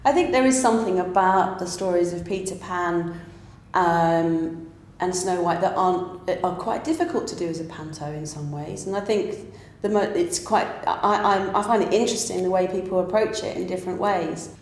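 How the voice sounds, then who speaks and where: A woman's voice: fairly low pitch, moderate pace. A woman giving thoughtful interview answers, often restarting and repeating words. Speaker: pantomime writer and director. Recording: echoey room with faint noise.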